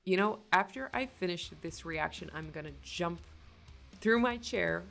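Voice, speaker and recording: A woman's voice: on the low side, expressive, measured pace, vocal fry, fairly bright tone, soft background music. A woman from Finland with a Finland-Swedish accent and Swedish as her first language, gushing with enthusiasm as she hosts casual reaction commentary. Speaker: reaction video host.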